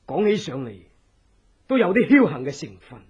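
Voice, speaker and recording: An actor playing an earnest, secretive revolutionary, speaking with urgent, theatrical persuasion in a radio drama. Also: male voice, on the high side, very animated, normal speed, a little mellow, husky, exaggerated voice. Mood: grave, guarded. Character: earnest, secretive revolutionary, escaped political prisoner. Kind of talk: Cantonese radio drama acting. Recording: dull, lo-fi old radio drama.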